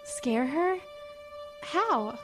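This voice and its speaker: A woman's voice: sits high, very animated, very slow, over music, heavily acted. A woman playing a secretive, vengeful teenage schoolgirl in an audio horror drama, with an evasive, hesitant manner. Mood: anxious, resentful.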